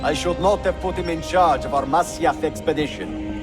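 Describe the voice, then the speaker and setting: An actor playing a calm, calculating, quietly menacing Templar mastermind in a video game, with measured, lofty speech. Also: male voice, fairly high pitch, over music, lightly acted. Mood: weary, lofty. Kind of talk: dramatic video game cutscene acting.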